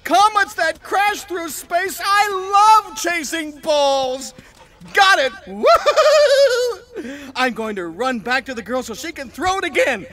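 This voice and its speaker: A man playing an excitable alien disguised as a little dog, theatrical and exclamatory, in a sci-fi audio drama. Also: very high voice, slow pace, crowd noise, heavily acted. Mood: overjoyed. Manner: theatrical, exclamatory, arguing with himself.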